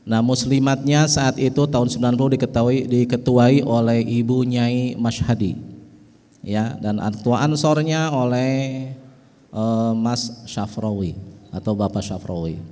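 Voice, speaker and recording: A man's voice: mid-range voice, unhurried, dark tone. Middle-aged man with a Betawi accent, reverberant hall. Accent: Betawi and West Java Indonesian. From West Java, Indonesia. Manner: folksy, with frequent "ya" asides. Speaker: speaker at an organization event. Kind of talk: speech at a ceremony. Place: large hall.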